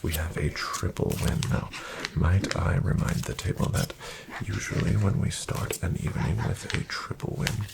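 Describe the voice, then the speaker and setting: A man playing a courteous, composed casino dealer in a soft-spoken ASMR roleplay, with formal phrasing and a breathy near-whisper. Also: low-pitched, steady tone, very noisy. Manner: formal, polite, measured phrasing.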